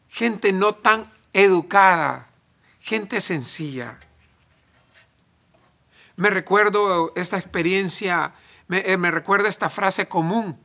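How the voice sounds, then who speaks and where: A man from El Salvador, preaching a sermon in deliberate phrases with emphatic pauses. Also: high voice, vocal fry, pushed and bright.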